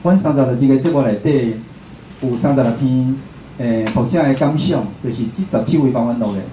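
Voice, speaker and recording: Dark-voiced Taiwanese man in a roomy space. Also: mid-range voice, fairly quick. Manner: chatty, often ends phrases with a confirming tag particle. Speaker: radio host and programme producer giving a talk. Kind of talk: informal public lecture. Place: indoor talk venue with a seated audience. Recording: roomy echo, faint background noise.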